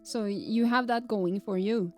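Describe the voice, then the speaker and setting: Unhurried woman, light Swedish accent. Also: medium pitch, a little mellow, soft background music. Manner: rambling, fumbles words, laughs often. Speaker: streamer. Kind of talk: casual live-stream chat.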